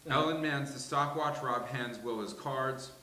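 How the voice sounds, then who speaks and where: A man's voice: mid-range voice, measured pace, bright, forceful tone. A man reading stage directions in a live sitcom table read. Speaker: supporting reader. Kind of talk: animated script table read. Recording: echoey live room recording.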